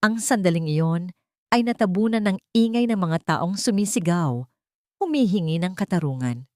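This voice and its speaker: A woman's voice: lowish voice, lively intonation, moderate pace, darkish tone, no room sound, clean studio sound. A woman with a Filipino accent giving a scripted, dramatic narration of a love story.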